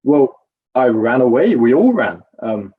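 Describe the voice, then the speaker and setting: Guy acting as a jittery roman soldier at an inquest, husky mellow voice, stammery and very deferential, muffled audio. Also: mid-range voice, sing-song, unhurried. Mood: evasive, uneasy. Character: nervous evasive Roman guard corporal, deferential to authority. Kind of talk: dramatized courtroom testimony.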